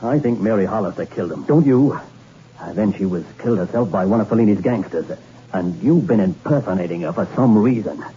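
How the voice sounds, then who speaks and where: A man's voice: on the low side, expressive, fairly quick, warm tone, close to an everyday voice. An actor playing a hard-boiled male investigator in a 1960s radio drama, speaking in a clipped, dry, persistent way. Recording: dull, faintly hissy old radio recording.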